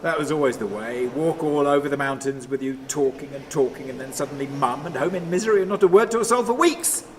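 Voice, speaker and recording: An actor playing a bitter, brooding man haunted by memories, rambling and halting in a radio play monologue. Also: on the high side, natural voice. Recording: noisy, steady ambient sound behind.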